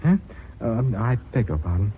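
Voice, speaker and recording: An actor playing a sardonic, well-bred company director in British radio drama, dry and ironically polite. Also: male voice, fairly low pitch, sing-song, normal speed, slight background noise. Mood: wry, troubled. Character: sardonic, well-bred gentleman heading a family firm. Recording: slightly dull radio drama recording.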